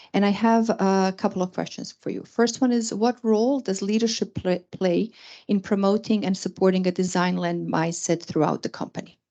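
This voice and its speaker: A woman's voice: mid-range voice, dark tone, highs cut off. A woman moderating a conference panel, formally introducing the panelists and asking questions. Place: event venue.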